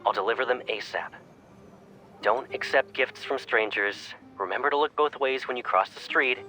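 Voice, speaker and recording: A man's voice: mid-range voice, moderate pace, pushed and bright, close to an everyday voice. A man playing a young pilot cadet, a doting and teasing boyfriend, in a romantic game fan dub. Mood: caring, bossy.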